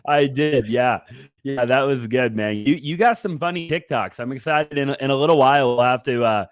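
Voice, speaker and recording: A man's voice: medium pitch, moderate pace, no room sound. A man hosting a casual interview, asking long, rambling questions with many you-knows and restarts. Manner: rambling, stacks fillers and restarts. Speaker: podcast host.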